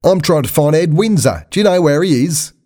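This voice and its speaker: A man's voice: on the high side, animated, brisk, dark tone, voice pushed up. A man voicing a cheerful, gloating male caller with an Australian accent in an audiobook.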